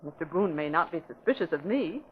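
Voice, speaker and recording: An actress playing an earnest young newspaper reporter in 1940s radio acting style, hesitant and eager. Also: female voice, low voice, lively intonation, a little fast. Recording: dull old radio broadcast with faint hiss.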